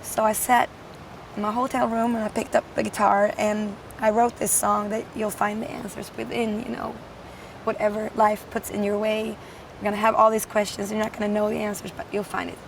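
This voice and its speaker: A woman musician with a naturally hoarse voice, chatting in a friendly casual interview outdoors. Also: medium pitch, little pitch movement, normal speed. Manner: friendly, laughs easily. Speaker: musician guest. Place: outdoors at a festival. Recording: distant mic, faint street noise.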